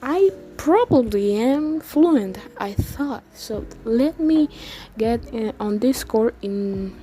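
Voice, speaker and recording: An eighteen-year-old woman from the Dominican Republic, a native Spanish speaker with a Dominican accent, talking casually to viewers about herself and haltingly searching for words. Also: on the high side, animated, dark tone, a little hiss or hum. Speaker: language-learning YouTuber. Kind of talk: casual personal storytelling to viewers. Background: music.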